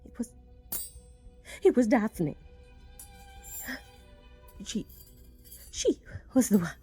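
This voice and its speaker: Radio play: stiff, indignant older woman, dark tone, animated intonation, music behind. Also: a little high, extremely slow, husky, exaggerated voice. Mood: hesitant. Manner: stiff, haughty, formal. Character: prim, indignant older office woman, easily affronted.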